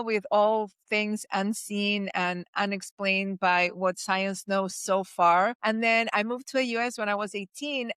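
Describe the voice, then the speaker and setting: A woman in her fifties from Chile, a guest on a podcast, speaking in a direct, blunt and animated way. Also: medium pitch, smooth, no room sound, clean studio sound. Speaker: podcast guest, magic teacher. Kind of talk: casual interview.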